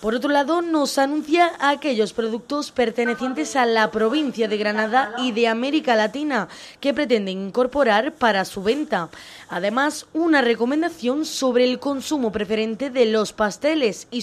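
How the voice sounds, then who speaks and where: A woman reporter reading a scripted news package in a smooth, measured way. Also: on the high side.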